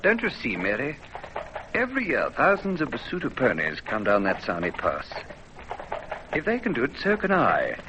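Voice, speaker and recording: An actor playing a stubborn, dreamy young ex-soldier, earnest and insistent, in old radio drama style. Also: male voice, medium pitch, huge pitch swings, moderate pace. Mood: eager. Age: young adult. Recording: muffled, faintly noisy old radio.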